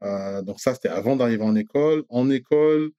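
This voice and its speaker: A man in his thirties from Rwanda, talking as an interview guest, hesitant with many pauses and restarts. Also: medium pitch, no room sound, highs cut off. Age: 30s. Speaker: guest, company director. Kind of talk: casual interview chat.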